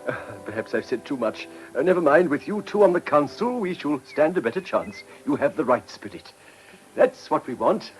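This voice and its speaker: An actor playing a polite, hesitant, anxious village vicar in a period radio drama. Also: male voice, on the high side, sing-song, normal speed, faint music behind, rough recording. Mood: worried.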